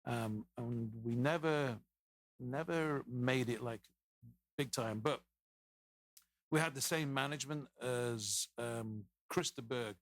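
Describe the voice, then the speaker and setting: A man's voice: medium pitch, slow pace, dry recording. English man telling rambling stories. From England. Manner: rambling, anecdotal, many restarts. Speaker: podcast guest. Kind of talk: casual storytelling interview.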